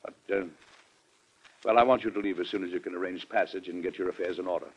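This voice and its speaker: An actor playing a dignified, worried American president and father in an old-time radio drama, formal and measured with some hesitation. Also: low-pitched, steady tone, slightly rough. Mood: grave, regretful. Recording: dull, band-limited old radio recording.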